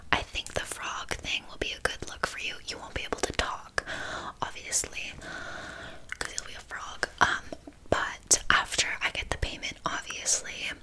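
Flaky, scammy witch for whispered ASMR roleplay, played by a woman: soft breathy whisper, halting casual rambling, blithely unbothered. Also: low-quality recording. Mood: sheepishly apologetic.

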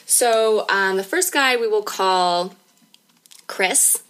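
A woman's voice: medium pitch, takes it slowly, a bit bright. A woman in her thirties hosting a podcast, talking casually and candidly in an informal, conversational way. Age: 30s. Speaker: podcast host.

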